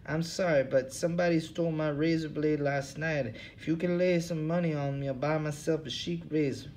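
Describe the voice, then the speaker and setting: A man's voice: steady tone, moderate pace, a little mellow, slight background noise, close to an everyday voice. A man voicing a weary, self-pitying male drifter with genteel airs. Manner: listless, self-pitying, raised pitch.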